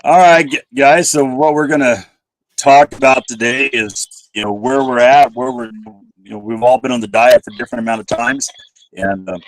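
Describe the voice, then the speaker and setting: A man's voice: medium pitch, moderate pace. A man casually hosting a panel livestream, his voice a little scratchy from a cold.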